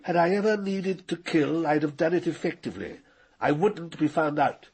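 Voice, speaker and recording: An actor playing an arrogant, cold-blooded older knight in a radio drama, precise and condescending. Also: male voice, on the high side, moderate pace, darkish tone, slightly rough. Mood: cold, menacing. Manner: condescending, precise, coolly menacing.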